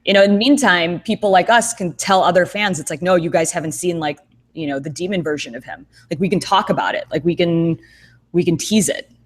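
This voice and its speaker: A woman with a somewhat low voice co-hosts a relaxed chat over a video call. She thinks aloud, circling back with fillers and restarts as she builds her point. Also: brisk. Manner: thoughtful, rambling with many fillers and restarts. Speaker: co-host. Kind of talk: casual podcast chat.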